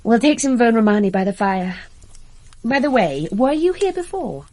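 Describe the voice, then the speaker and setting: An actress in a radio drama playing a cool, frank, worldly young woman with a husky voice. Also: on the high side, very animated, moderate pace, warm tone, dry recording. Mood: cool, guarded.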